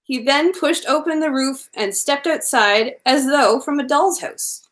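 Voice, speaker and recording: A woman with a fairly high voice reads a storybook aloud for children. Her delivery is expressive and animated, in the playful manner of a bedtime storyteller. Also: measured pace. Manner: animated, with playful asides to listeners.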